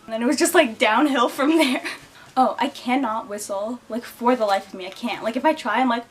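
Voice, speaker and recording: A woman's voice: a little high, fairly quick, soft background music. A young woman telling childhood stories and facts about herself to camera in a chatty, giggly way, putting on playful voices when she quotes people. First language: English. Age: young adult. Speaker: beauty and lifestyle vlogger. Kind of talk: casual storytelling to camera.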